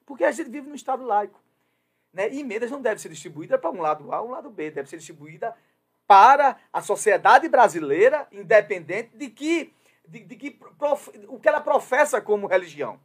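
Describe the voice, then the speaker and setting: A man's voice: extremely high pitch, very animated, fairly quick, fairly bright tone, slightly rough. A man from Brazil giving opinion commentary on his show, hesitant with many fillers at first, then emphatic and insistent. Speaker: commentator on a radio or web show.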